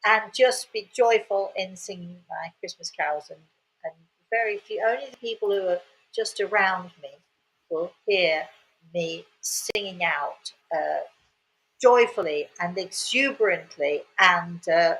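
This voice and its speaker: A woman's voice: lowish voice, a little slow, a bit bright, rough recording. An older woman hosts and tells a casual, rambling story. She talks in a chatty way, repeating words and adding cheerful asides. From England. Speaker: host.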